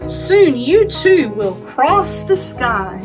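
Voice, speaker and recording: A woman with a high voice hosts a storytime for young children. She takes her time, with an unhurried pace, as she presents and reads aloud. Her words are simple and aimed straight at the children, inviting them along. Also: big pitch swings, music in the background. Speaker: children's librarian hosting storytime.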